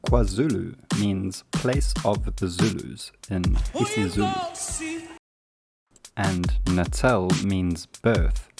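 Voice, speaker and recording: A man from South Africa with a South African accent, giving a comedic scripted narration with dry, deadpan delivery. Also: sits low, slow, creaky. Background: music.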